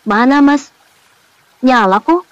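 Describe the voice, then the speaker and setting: A woman's voice: high voice, slow pace, dry recording. A woman playing a courteous, formal hotel employee in a cartoon horror comedy, on a dull, muffled recording. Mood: polite. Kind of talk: animated horror-comedy voice acting.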